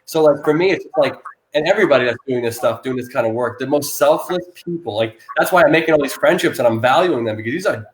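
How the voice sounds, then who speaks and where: Loud guy hosting a rescue livestream, talks super fast, lots of "like", echoey room. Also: mid-range voice, animated. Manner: rapid rambling with many restarts and fillers; loud, self-described loud person. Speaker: host of an animal rescue live stream. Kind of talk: casual live-stream interview.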